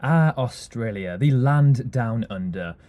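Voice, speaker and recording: A man's voice: mid-range voice, expressive, slow, dull audio, a little hiss or hum. A man from Britain with a British accent, reading scripted narration for a list video.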